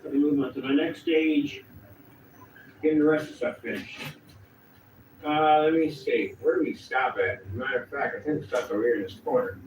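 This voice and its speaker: A man with a cold talks to himself in a meandering way while he works, his voice sitting a little high and moving up and down a lot. He is far from the microphone in a roomy garage. Steady background noise runs under his voice. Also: highs cut off. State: has a cold, sniffly. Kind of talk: casual talk while working. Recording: distant, roomy, noisy clip replayed.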